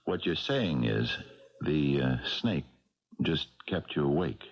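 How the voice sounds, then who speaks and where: An actor playing a weary older male retired cop in a 1970s radio drama, halting and probing, with a worried gruffness. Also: extremely low pitch, lively intonation, heavily acted. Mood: probing, grave. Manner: halting, hesitant, probing questions. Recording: dull 1970s radio recording, loud music.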